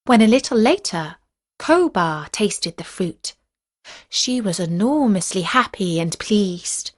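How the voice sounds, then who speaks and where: Woman reading a storybook legend, really slow and measured, medium pitch, expressive audiobook narrator style. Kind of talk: scripted narration of a folk legend for listeners.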